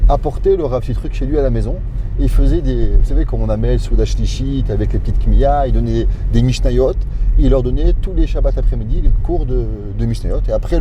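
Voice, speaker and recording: A middle-aged man, a rabbi and couples therapist, chatting casually in an interview, chuckling often and rambling with fillers. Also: mid-range voice. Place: inside a car in a city. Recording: car and street noise.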